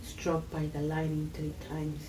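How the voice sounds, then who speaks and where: A woman's voice: low voice, monotone, unhurried, distant mic, noisy background. A woman from Peru, guest in a casual conversation, speaking earnest, slightly broken English.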